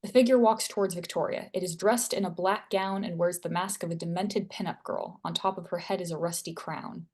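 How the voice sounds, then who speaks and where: A woman's voice: on the low side, fairly even intonation, a little fast, few pauses, a little mellow, breathy edge. A woman narrator reading screenplay stage directions at a table read.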